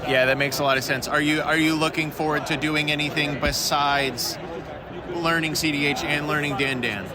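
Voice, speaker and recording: A man's voice: a little high, steady tone, moderate pace. A man casually interviewing attendees on a convention floor, asking questions in a joking, offhand way. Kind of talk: casual vox-pop interviews. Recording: crowd noise on a convention floor.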